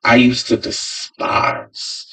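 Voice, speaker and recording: A man's voice: extremely slow, pushed and bright. A man talking as a podcast guest in casual chat, emphatic and repeating phrases for effect. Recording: distant from the mic.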